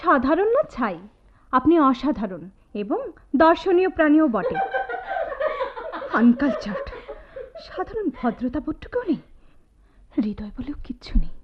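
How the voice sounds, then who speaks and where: An actress playing a spirited, sharp-tongued young woman student in a Bengali radio drama, taunting and animated. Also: high-pitched, measured pace, pauses often. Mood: indignant, taunting. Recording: tinny phone-like radio drama audio, music behind.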